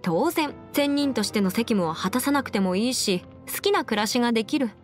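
A woman voicing a confident, brisk young half-immortal lawyer in an anime game, with crisp, formal phrasing and music behind her. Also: fairly high pitch, expressive, close to an everyday voice. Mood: friendly, businesslike. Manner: crisp, self-assured, formal mannish phrasing. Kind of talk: scripted anime game character voice lines. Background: background music.

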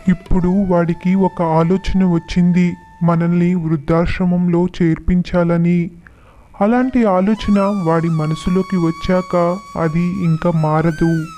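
A man playing a gentle, forgiving elderly father in a storybook tale, speaking mildly with resignation. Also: high-pitched, little pitch movement, slow pace, faint music behind. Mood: sorrowful. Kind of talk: storybook dialogue.

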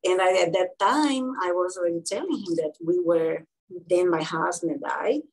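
A woman in her sixties from Brazil with a Brazilian Portuguese accent, telling her career story as an interview guest, with frequent pauses and fillers. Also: on the low side, rough recording. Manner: halting, many fillers and restarts. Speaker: guest physician-researcher.

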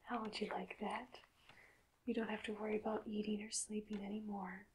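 A woman speaking in a soft, breathy whisper, slow and soothing, as a calm doctor in an ASMR roleplay. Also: medium pitch, slight background noise, rough recording. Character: calm hypnotist doctor addressing a robot patient.

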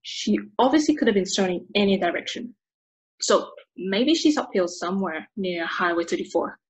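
A woman's voice: medium pitch, expressive, normal speed, close to an everyday voice, rough recording. A woman reading the part of a sports commentator who thinks aloud through the numbers, in a video-call table read. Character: sports radio commentator, talkative and analytical.

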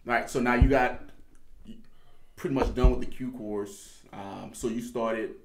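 A man's voice: mid-range voice, steady tone, a little slow. A male host in a casual interview, laid-back, mostly nodding along with short agreements and casual swearing. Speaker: podcast host. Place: small studio set at a table. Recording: echoey studio room with faint noise.

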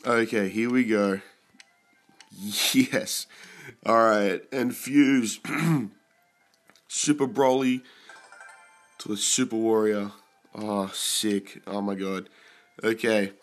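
A young man talks over his mobile game in a slow, lowish voice. He is sick, and frequent throat-clearing roughens his casual commentary. He gets excited and curses when the pulls go his way. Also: soft background music. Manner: excited, swears at big pulls.

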